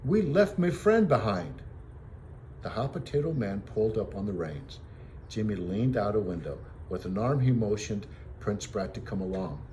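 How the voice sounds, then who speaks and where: A man reading a story aloud as a teacher, in expressive storybook narration. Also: fairly low pitch, normal speed, slight background noise.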